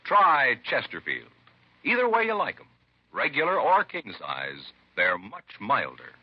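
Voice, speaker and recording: A male announcer delivers an emphatic 1950s radio sales pitch. His intonation swings widely and his voice has a slight rasp. It comes across dry and muffled, like an old broadcast. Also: huge pitch swings, a little slow, no echo. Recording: dull vintage radio recording.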